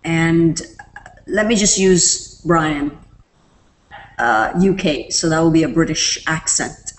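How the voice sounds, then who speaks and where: Female tutorial presenter, low voice, easygoing step-by-step delivery, light background hiss. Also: slow, poor audio. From Canada. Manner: relaxed, step-by-step explaining with fillers. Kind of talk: screen-recorded software tutorial.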